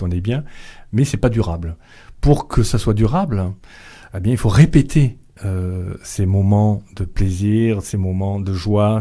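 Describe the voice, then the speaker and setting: A middle-aged man guest on a radio interview, talking slowly and hesitantly with many fillers and restarts. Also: sits low, warm tone. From Vietnamese family background. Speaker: author and guest.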